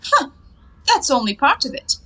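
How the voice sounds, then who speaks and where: Casting: high-pitched young woman, flippant and teasing, lofty airs, twenties stage-comedy delivery. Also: very animated, moderate pace, pushed and bright, heavily acted. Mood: flippant, carefree. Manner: airy, teasing, mock-grand phrasing. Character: playful, cheeky, carefree young woman lounging in her bath. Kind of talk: theatrical 1920s stage comedy acting.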